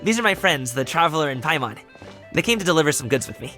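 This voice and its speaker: A man's voice: fairly high pitch, sing-song, fast, sharp tone. A young man voicing a friendly, casual, slightly sheepish courier guide in a video game, with a breezy colloquial manner. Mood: cheerful. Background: music.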